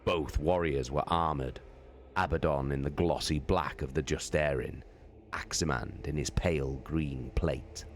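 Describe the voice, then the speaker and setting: Man, very deep voice, slow deliberate reading, dramatic live stream narration. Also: slight background noise. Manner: slow, deliberate, drawn-out phrasing. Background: faint background music.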